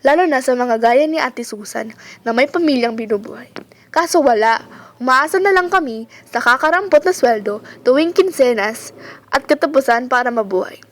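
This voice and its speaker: A woman's voice: sits high, lively intonation, normal speed. A young woman with a Filipino accent playing an earnest, weary, underpaid university worker in an amateur radio drama. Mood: weary, aggrieved.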